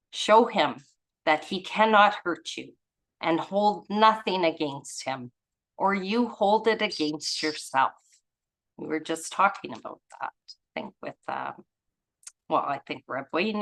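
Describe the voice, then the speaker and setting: A woman's voice: on the low side, a bit bright. A woman leading a spiritual study group, reading aloud and commenting casually in an earnest, reflective way.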